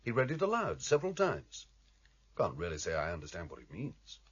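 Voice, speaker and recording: An actor playing a loyal, plain-spoken go-between in a radio drama, with a slightly husky voice and a wry, conversational manner. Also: male voice, low voice, huge pitch swings, fairly quick, a bit bright, highs cut off, full character voice. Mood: reflective.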